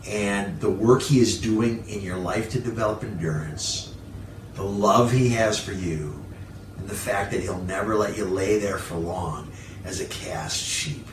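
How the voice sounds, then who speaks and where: A man preaching a sermon as a pastor, emphatic, with repeated phrases, rhetorical questions and frequent pauses. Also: on the low side. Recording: roomy echo, distant mic, faint noise.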